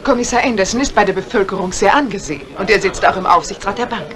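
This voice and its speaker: An actress playing a gushing, charming young newlywed in a 1950s radio drama, effusive and polite. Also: female voice, mid-range voice, quick pace, crowd noise, close to an everyday voice. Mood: charmed.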